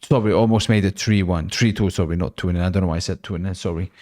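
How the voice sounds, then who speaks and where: A man with a fairly low voice hosts a live football watch-along. He chats casually, reacts to the play and answers viewer messages. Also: warm tone. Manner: chatty, reacts to the match and reads out viewer messages. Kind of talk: casual live match commentary and chat.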